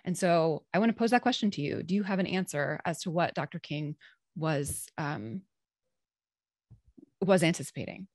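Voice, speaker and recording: Low-voiced woman moderating, dry close sound. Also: lively intonation, normal speed, pauses often, no echo. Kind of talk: moderating a panel over video call. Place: living room at home, indoors. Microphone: desk microphone, close.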